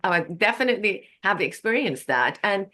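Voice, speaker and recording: A woman's voice: medium pitch, very animated, fairly quick, bright, forceful tone. A woman, a Farsi speaker, talking as a guest in a casual interview. She explains things earnestly, with many fillers and restarts. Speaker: guest, yoga and Ayurveda practitioner.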